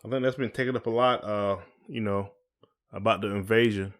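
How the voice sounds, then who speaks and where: A man's voice: on the low side, steady tone, a little fast. A man co-hosting a podcast in casual chat, asking questions in informal, hesitant phrasing. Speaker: podcast co-host.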